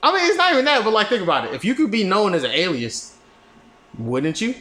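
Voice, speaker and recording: A lively young man chatting on a podcast, his voice bright and a touch high, swinging up and down as he jokes and swears freely. The room around him rings with echo. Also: quick pace. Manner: loose, joking, profane, laughs often. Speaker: podcast co-host. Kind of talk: casual chat.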